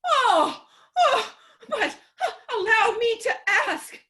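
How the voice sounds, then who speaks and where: A woman's voice: very high voice, sing-song, slow pace, pushed and bright, full character voice. A woman playing an indignant, haughty young lady with a prim, theatrical, emphatic delivery in a stage comedy reading. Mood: scornful amusement.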